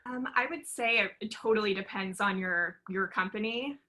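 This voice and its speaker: A woman's voice: medium pitch, normal speed, bright, forceful tone. A woman on a career panel, speaking earnestly and laying out her points in an organized way. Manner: earnest, organized, explains in steps. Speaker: panelist, sales enablement lead. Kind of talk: casual panel discussion.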